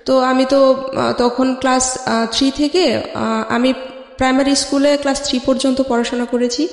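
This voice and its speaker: An interview guest from Bangladesh telling a personal life story in a hesitant, halting way with frequent pauses. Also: high voice, level tone. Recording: echoey hall with long reverb.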